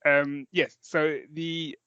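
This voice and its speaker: A man's voice: fairly high pitch, little pitch movement, drawn-out words, highs cut off, background noise. A man, co-hosting a podcast in casual, jokey, rambling chat with some swearing. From London, England. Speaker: podcast co-host.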